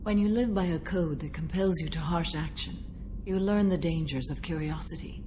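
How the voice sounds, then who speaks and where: An actress playing a stoic, centuries-old alien warrior woman bound by a code in a video game, speaking in a measured, formal, solemn manner. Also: deep voice, mellow, a little hiss or hum, lightly acted. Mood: calm, reserved. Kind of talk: video game dialogue acting.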